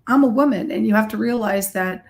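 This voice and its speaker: A middle-aged woman with a mid-pitched voice speaks as a guest in a casual interview. She is candid and emphatic, talking in a loose, conversational way like a musician telling her own story. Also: fairly quick. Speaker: guest, rock guitarist and recording artist.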